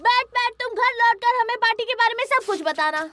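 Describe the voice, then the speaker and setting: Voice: very high voice, expressive, quick pace, noisy background. An actor voicing a timid, hesitant young child in a cartoon dub. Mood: encouraging. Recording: loud music behind the voice.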